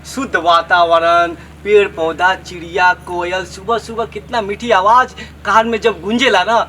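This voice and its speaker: Man playing a high-pitched, pleading young village lad. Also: fairly even intonation, unhurried, bright, forceful tone, slight background noise. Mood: boastful, indignant. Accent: Bhojpuri. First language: Bhojpuri. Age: young adult. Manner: wheedling, boastful, repetitive. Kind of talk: comedy skit dialogue.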